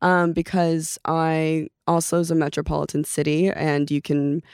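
A young adult woman from Norway, a native Norwegian speaker, chatting as a podcast guest in a relaxed, meandering way with frequent fillers. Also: deep voice, moderate pace, smooth, no echo, clean studio sound. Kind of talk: casual chat.

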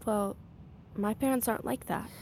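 An actress playing a sulky, guarded and stubborn young daughter in a naturalistic audio drama. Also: female voice, on the high side, fairly even intonation, moderate pace, darkish tone. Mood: sulky, hurt.

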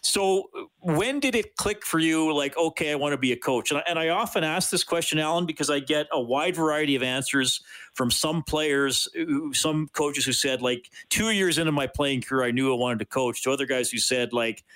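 Male sports radio host, fairly high voice. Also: normal speed, fairly bright tone, rough recording. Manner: friendly, stumbling restarts. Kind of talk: radio interview.

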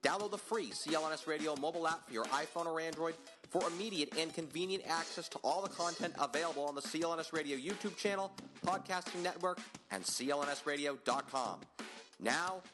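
A man's voice: fairly high pitch, a little fast. A man reading a formal, scripted podcast introduction as the network's announcer. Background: music bed.

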